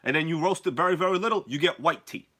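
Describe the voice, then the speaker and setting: Sick, hoarse-voiced live stream host. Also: male voice, on the high side, fast talker, pushed and bright. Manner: joking, chatty, greets viewers by name. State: sick with a cold, losing his voice. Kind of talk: casual live stream chat.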